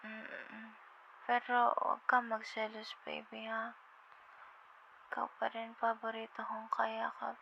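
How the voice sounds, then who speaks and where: Very slow, sleepy Filipino girlfriend acted by a woman. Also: fairly high pitch, completely flat delivery, sharp tone, heavily acted. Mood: drowsy, affectionate. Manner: drowsy, halting, murmuring, tender. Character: sleepy, affectionate young girlfriend on a late-night call. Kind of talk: intimate ASMR girlfriend roleplay. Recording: muffled, with clear background noise.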